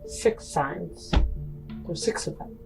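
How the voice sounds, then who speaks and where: A woman's voice: slow pace, music in the background, low-quality recording. A woman playing a tabletop role-playing game, speaking casually in character.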